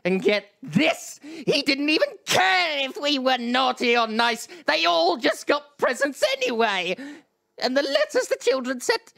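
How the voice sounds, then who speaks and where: A man voicing a villainous male elf leader in a live radio play, theatrical and given to shouted curses. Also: very high-pitched, creaky, exaggerated voice. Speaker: voice actor in a charity-stream radio play.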